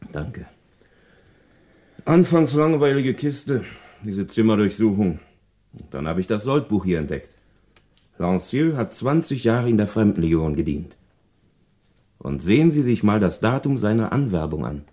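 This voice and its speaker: An actor playing a police inspector's dry, keen subordinate in a German radio crime drama, matter-of-fact and speculative. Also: male voice, lowish voice, big pitch swings, unhurried, long pauses, mellow. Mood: curt. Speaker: police detective.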